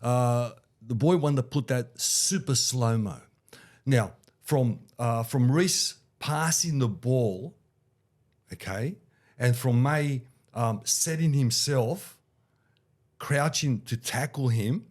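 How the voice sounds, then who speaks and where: Rambling Aussie bloke with a head cold. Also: male voice, mid-range voice, slow pace. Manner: rambling, excitable, swears. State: head cold, a bit nasal. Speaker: podcast co-host. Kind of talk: casual sports chat.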